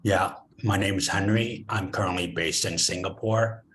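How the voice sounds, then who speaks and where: A man speaking as a panelist on a video call, relaxed and a bit hesitant. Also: low voice, moderate pace, a little hiss or hum. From born in China, raised in the US. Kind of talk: casual conversation.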